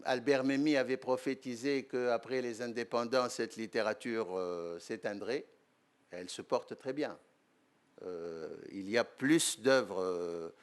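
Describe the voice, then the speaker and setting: An older man from Tunisia with a Tunisian accent, a writer and professor, answering interview questions at a conference in a measured, hesitant way. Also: lowish voice, smooth. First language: Arabic. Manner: measured, hesitant with many fillers. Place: lecture room.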